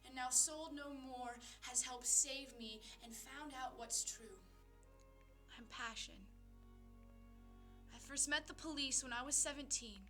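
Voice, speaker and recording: High, bright woman performing slam poetry. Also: measured pace, long pauses, faint music behind. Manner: earnest, dramatic, rhythmic rhymed delivery with emphatic swells and hushed drops. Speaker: spoken word poet.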